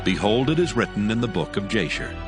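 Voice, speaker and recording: A man narrating scripture from a script in a solemn, measured storytelling manner. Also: on the low side, big pitch swings. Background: music.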